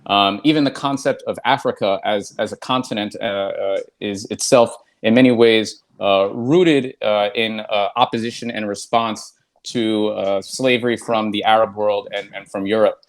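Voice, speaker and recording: A man answering as a panel guest in a measured, formal way, pausing on "uh" between phrases. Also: on the low side, fairly even intonation. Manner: measured, formal vocabulary with "uh" pauses. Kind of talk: academic-sounding panel answer.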